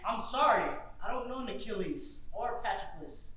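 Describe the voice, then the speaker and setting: A puzzled, polite young man with no memory acted by a teenage boy speaks in a very high-pitched voice. It is stage drama, distant and reverberant in a theater with faint noise. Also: lively intonation, moderate pace, sharp tone. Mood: bewildered. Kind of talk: high school stage play acting. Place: theater stage, live performance.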